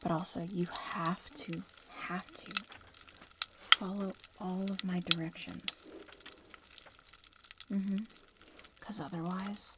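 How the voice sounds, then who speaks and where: A woman playing a bratty young witch in training in a soft-spoken ASMR roleplay, casually bossy and teasing but reassuring. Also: fairly low pitch, fairly even intonation, slow, long pauses, very noisy. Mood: matter-of-fact, cautioning. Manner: casual, teasing, matter-of-fact instructions. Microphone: close-up ASMR microphone.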